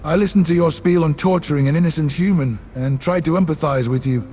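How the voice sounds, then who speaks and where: A man voicing a stern, calm human soldier who speaks in a measured way to his friend. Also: on the high side, soft background music, natural voice. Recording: long echoing reverb, background noise.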